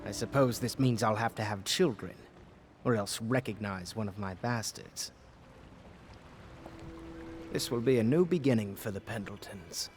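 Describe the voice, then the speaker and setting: Pompous, sardonic aristocrat in low tones, man acting. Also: a little slow, pauses often, slight background noise, close to an everyday voice. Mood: smug. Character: haughty, bitter aristocratic lord, self-important. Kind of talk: video game character acting.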